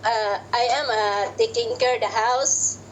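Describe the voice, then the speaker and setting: A woman's voice: fairly high pitch, fairly even intonation, unhurried, a little hiss or hum, poor audio. A woman in her forties with a Filipino accent, answering job interview questions politely and hesitantly, adding "sir" to nearly every reply. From Philippines. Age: 40s. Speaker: job applicant.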